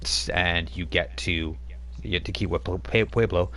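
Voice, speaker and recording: A man's voice: deep voice, steady tone, moderate pace, fairly bright tone, a little hiss or hum. A man narrating a tabletop game as game master, rambling with many fillers and restarts.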